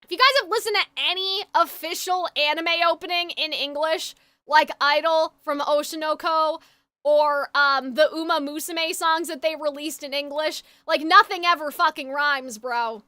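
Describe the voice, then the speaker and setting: A woman's voice: high-pitched, steady tone, normal speed, bright, forceful tone, dry recording. A woman livestreamer chatting casually and ramblingly with her viewers, opinionated and informal, sounding a little phlegmy. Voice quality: slightly congested, phlegmy. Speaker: game livestreamer.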